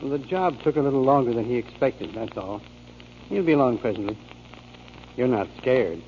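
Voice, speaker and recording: An actor playing a genial, philosophical waterfront friend with an eloquent, mannered delivery in a 1940s radio drama. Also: male voice, mid-range voice, expressive, moderate pace, darkish tone, a little hiss or hum, natural voice. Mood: reassuring. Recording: muffled 1940s radio recording.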